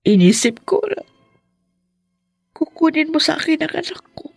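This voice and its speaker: A woman playing an anguished, possessive middle-aged mother in a radio drama, confessing in a halting, heavy way. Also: sits high, big pitch swings, vocal fry, no room sound, clean studio sound, exaggerated voice. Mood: remorseful, anguished. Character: possessive, remorseful mother of grown children, anguished.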